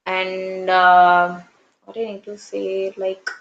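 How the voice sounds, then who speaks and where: A woman's voice: medium pitch, little pitch movement, slow, muffled recording, slight background noise. A woman from Tamil Nadu in her thirties, a native Tamil speaker with a Tamil Indian English accent, chatting earnestly about her life as an English trainer. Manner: earnest, fillers and restarts, reflective. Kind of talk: casual conversation practice. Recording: roomy echo.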